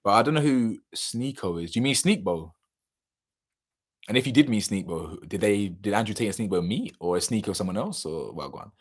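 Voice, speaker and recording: Young guy on a live stream, bit hoarse, lowish voice, slangy, flips from loud to mumbly. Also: fairly quick. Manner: slangy, animated, laughs often, drifts between loud bursts and mumbles. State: slightly hoarse. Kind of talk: casual live chat reading viewer comments.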